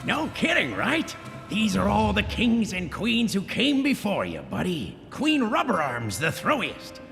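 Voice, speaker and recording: A brash old cartoon king with a high, gravelly voice that swoops wildly. He talks in slangy, boastful bursts, showing off like a folksy spirit guide. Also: huge pitch swings, measured pace, bright, forceful tone, faint music behind, full character voice. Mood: brash, showy.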